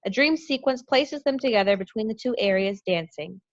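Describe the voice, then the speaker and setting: A woman's voice: on the high side, expressive, fairly quick, dry recording. A woman reading scripted stage directions for an amateur play reading over a video call. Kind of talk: scripted narration at table read. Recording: muffled dry video call.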